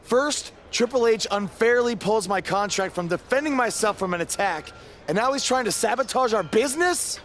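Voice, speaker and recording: An actor playing a frustrated, determined pro wrestler with a dry, exasperated manner in a video game cutscene. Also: male voice, high voice, expressive, normal speed, a bit bright. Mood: outraged. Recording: clear background noise.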